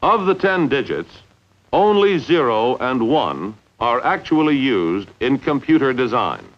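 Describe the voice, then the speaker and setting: A man narrating a 1950s instructional training film in a measured, deliberate way with emphatic enunciation. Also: mid-range voice, big pitch swings. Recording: dull, lo-fi 1950s film soundtrack.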